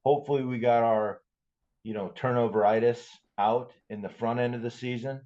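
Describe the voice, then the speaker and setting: A man's voice: medium pitch, fairly even intonation, moderate pace, warm tone. A man co-hosting a podcast, chatting casually about sports, on a dull, lo-fi recording. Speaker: podcast co-host.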